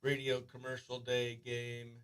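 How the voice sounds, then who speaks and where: A man's voice: mid-range voice, completely flat delivery, measured pace, a bit bright, clear, resonant tone, no echo. A man chatting as a morning radio show host, upbeat and talkative, sometimes muttering to himself as he works. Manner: upbeat, chatty, mutters to self while working. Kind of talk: behind-the-scenes radio chat. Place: radio studio.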